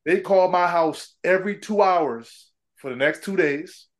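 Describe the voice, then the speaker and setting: A man's voice: high voice, moderate pace. A middle-aged man from Trinidad, a former pro basketball player, chatting casually as an interview guest, laughing often and saying "man" and "bro".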